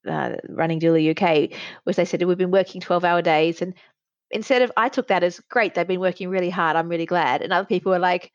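A woman's voice: lowish voice, fairly even intonation, fast, no room sound. A middle-aged woman in casual conversation, giving candid, reflective opinions, on slightly dull lo-fi audio. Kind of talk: casual chat.

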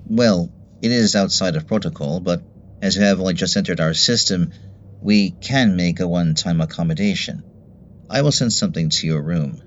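A male actor performs a fussy, rule-bound male functionary in a radio drama. His voice sits fairly low, and he speaks with formal, stiff courtesy. There is slight background noise. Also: normal speed, muffled recording, close to an everyday voice. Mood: courteous, businesslike. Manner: formal, stiffly polite, pedantic. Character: prim, officious male bureaucrat running a facility's intercom service. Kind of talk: radio play acting.

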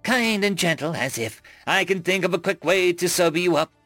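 A man's voice: high voice, little pitch movement, fast talker, a bit bright, no room sound, faint music behind. A man voicing a stern male alien military captain, incredulous and full of contempt. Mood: angry, menacing.

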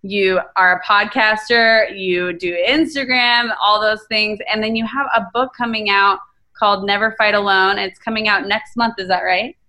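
A woman's voice: medium pitch, steady tone, moderate pace, pushed and bright. A young woman co-hosting a podcast, upbeat and enthusiastic as she interviews a guest. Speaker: podcast co-host. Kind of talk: scripted intro and interview.

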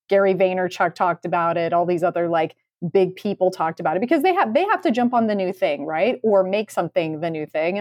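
A woman's voice: lowish voice, a little mellow, no echo, clean studio sound. A woman co-hosting a podcast, giving her opinion in a casual, candid, emphatic way. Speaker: podcast co-host.

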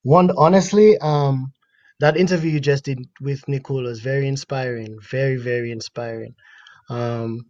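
A man's voice: mid-range voice, steady tone, a little mellow. Earnest Dominican man on a dull line. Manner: earnest, thoughtful, measured. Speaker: guest musician. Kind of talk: casual interview chat. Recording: dry, dull lo-fi sound.

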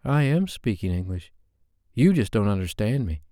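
Bitter defensive young woman in an audiobook, guy narrator putting her on, pitched way up from his deep voice, dry close sound. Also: very animated, moderate pace, warm tone, no room sound. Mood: sulky. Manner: raised, strained, heated delivery.

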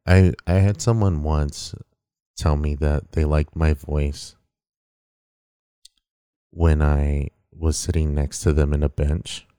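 A man with a very deep baritone voice, chatting casually as a podcast co-host in a relaxed, rambling way with lots of fillers. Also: slow pace, pauses often, smooth, no echo, studio quality.

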